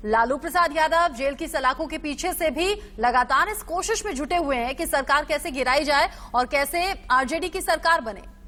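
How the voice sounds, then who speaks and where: A woman's voice: high voice, normal speed, bright, forceful tone. A woman presenting television news as the studio anchor.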